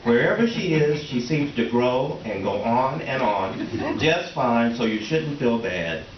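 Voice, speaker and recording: Casting: man as placating middle-aged husband, comic stage reading; flustered, soothing, animated, echoey room sound. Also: medium pitch, measured pace, a crowd in the background. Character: sheepish, placating middle-aged husband caught between women. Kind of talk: comic readers theater acting and narration. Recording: roomy, distant, dull, faintly noisy stage recording.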